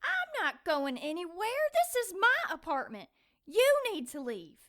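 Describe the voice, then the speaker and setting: An actress playing an excitable, sassy young woman in an audio drama, sarcastic and quick to take offense. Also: extremely high pitch, background noise, exaggerated voice. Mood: indignant. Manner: sarcastic, feisty, fast-reacting.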